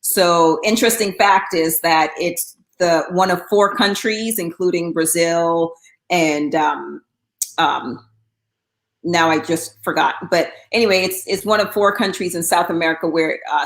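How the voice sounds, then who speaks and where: A woman's voice: on the low side, measured pace, gravelly, reverberant. A middle-aged woman from Guyana, talking casually as an interview guest, with frequent fillers and restarts. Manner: chatty, with many um fillers and restarts.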